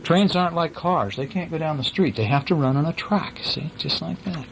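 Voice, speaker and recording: A man's voice: a little high, normal speed, creaky, slight background noise, poor audio. A man reading a bedtime story to his young children in a casual, playful way, breaking off to ask questions and act out sound effects.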